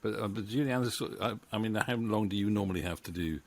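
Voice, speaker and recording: Low-pitched man, rambling delivery. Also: fast talker, slight background noise. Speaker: interviewer, writer-producer. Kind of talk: casual interview chat. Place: indoor home room with bookshelves. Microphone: studio microphone on boom arm, close.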